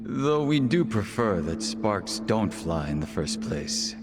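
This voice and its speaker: A coolly polite criminal fixer from a video game. He talks slowly in a low voice, measured and composed. Loud music plays under him. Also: big pitch swings. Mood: smug, composed. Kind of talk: English video game dub acting.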